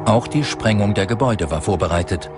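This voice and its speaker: A man's voice: fairly low pitch, animated, brisk, music in the background. A man reading scripted narration for a documentary.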